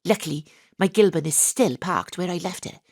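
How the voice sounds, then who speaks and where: An actress playing a wry, deadpan, sardonic female private detective in a noir audio drama. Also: fairly low pitch, normal speed, dry recording, studio quality. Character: hard-boiled wisecracking female private detective, sardonic and impatient. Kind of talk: first-person noir narration in an audio drama.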